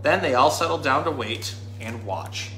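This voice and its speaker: A man in his thirties reading a children's story aloud in an animated, dramatic storytime manner. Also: medium pitch, normal speed. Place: indoor room. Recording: roomy indoor sound with faint noise.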